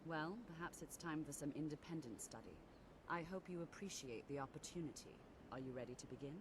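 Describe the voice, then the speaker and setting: An actor voicing a measured, formal scholar of magic in a video game. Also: medium pitch, noisy background, lightly acted. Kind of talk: video game dialogue acting. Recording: music under the voice.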